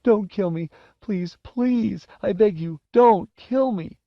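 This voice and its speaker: A man's voice: high-pitched, takes it slowly, gravelly, voice pushed up, heavily acted. A man voicing a fat, cowardly young boy in a storybook, sobbing, whining and pleading over and over. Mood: desperate begging. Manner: pleading, repetitive. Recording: roomy, dull lo-fi audio.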